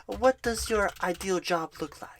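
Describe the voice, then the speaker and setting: A job recruiter in an ASMR roleplay phone screen, folksy and sweet, calling the listener darling and honey and sometimes dropping to soft whispers, with keyboard typing behind. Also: medium pitch, measured pace, slight background noise. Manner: drops to soft whispers at times.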